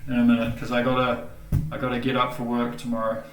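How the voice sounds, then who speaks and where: A man's voice: mid-range voice, monotone, quick pace, roomy sound, distant mic, a little hiss or hum, rough recording. A man with a New Zealand accent chatting casually and rambling on a video call, with lots of fillers. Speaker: host.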